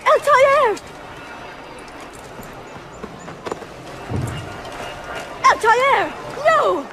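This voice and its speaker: An actress playing the earnest, principled wife of an assassin leader in a dramatic video game. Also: female voice, medium pitch, normal speed, noisy background, lightly acted. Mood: desperate. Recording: music behind the voice.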